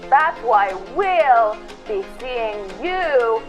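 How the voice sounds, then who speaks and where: A woman playing a spacey young cohost of a spooky podcast in a comedy promo, with dreamy deadpan asides. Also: on the high side, extremely slow, faint music behind. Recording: muffled lo-fi audio.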